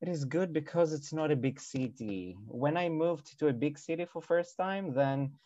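A man with a Greek accent, a musician guest speaking English as a second language, halting with many fillers and frequent laughs. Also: fairly high pitch, dark tone, no room sound, lo-fi sound. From Greece. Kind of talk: casual interview.

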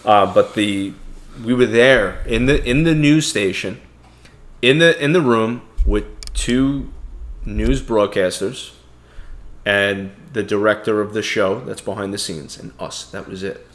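A man's voice: lowish voice, a little slow, fairly bright tone. Blunt Brooklyn-accented man. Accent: Brooklyn New York. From Brooklyn, New York. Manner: blunt, heated, repeats words. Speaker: podcast co-host. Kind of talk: casual opinionated chat.

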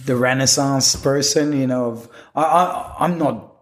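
A middle-aged man speaking English with a Quebec French accent. He is an interview guest who talks loosely and circles back, full of fillers and restarts. His voice sits in an ordinary middle pitch. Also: unhurried. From Quebec, Canada. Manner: rambling, many restarts and fillers. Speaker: guest, professional magician. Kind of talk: casual interview chat.

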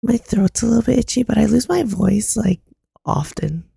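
A young woman co-hosting a casual podcast chat, hoarse as she loses her voice, laid-back and swearing casually. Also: on the high side, animated, moderate pace, dark tone, dry recording. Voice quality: raspy, gravelly. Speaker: podcast co-host.

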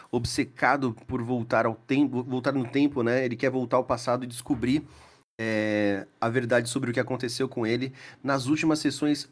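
A man with a Brazilian accent talking casually as a player on a role-playing podcast. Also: medium pitch. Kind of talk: casual chat introducing a game character.

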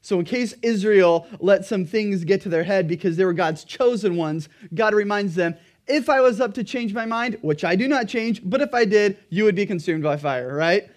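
High-pitched pastor guy preaching, bit raspy from a dry throat, gets emphatic. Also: expressive, normal speed. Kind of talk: sermon.

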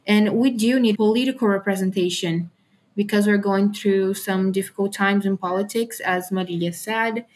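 Teenage girl, from Brazil, earnest, measured, guest. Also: mid-range voice, darkish tone, poor audio. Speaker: podcast guest. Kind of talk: interview answers.

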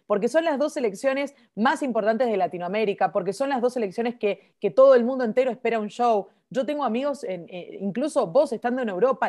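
A woman's voice: on the high side, a little mellow. Chatty woman. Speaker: co-host. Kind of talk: casual sports chat.

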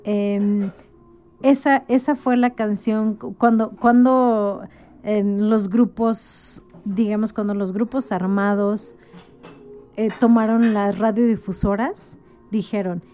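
A woman's voice: medium pitch, steady tone, slow, warm tone, soft background music. A woman talking as a guest in a casual conversation, explaining things like an informal storyteller and often checking for agreement. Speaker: interview guest.

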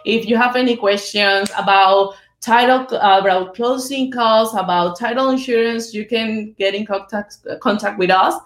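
A woman's voice: mid-range voice, fairly even intonation, normal speed, few pauses, echoey room. A woman who speaks Spanish natively, talking in English with a Spanish accent as a guest on a business podcast. She talks earnestly and simply. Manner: earnest, plain-spoken, speaks simply in a second language. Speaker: title company business development executive.

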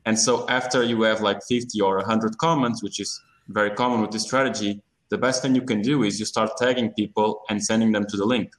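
Low-pitched man in casual interview. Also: fairly even intonation, fairly quick, few pauses, low-quality recording. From Portugal. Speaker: startup founder, interview guest.

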